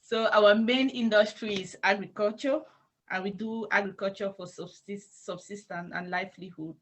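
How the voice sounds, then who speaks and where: Cameroonian woman, measured and halting. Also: mid-range voice, steady tone, low-quality recording. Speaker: church intern being interviewed. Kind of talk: unscripted interview answers.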